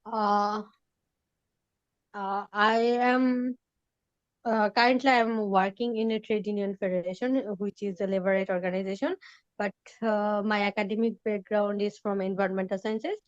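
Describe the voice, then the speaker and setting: A woman taking part in a webinar speaks in a hesitant, halting way. Her video call audio sounds muffled and lo-fi, dry and close. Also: medium pitch, steady tone, no room sound. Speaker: webinar participant. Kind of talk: casual remarks on a video call.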